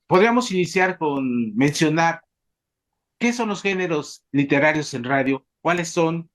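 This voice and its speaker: Halting Mexican man moderating a panel. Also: mid-range voice, a bit bright. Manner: halting, repetitive, searching for words. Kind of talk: semi-formal academic panel hosting.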